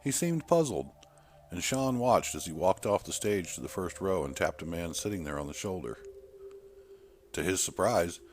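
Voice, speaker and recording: A man's voice: sits low, lively intonation, moderate pace, a little hiss or hum. A man reading a scripted horror short story as its narrator.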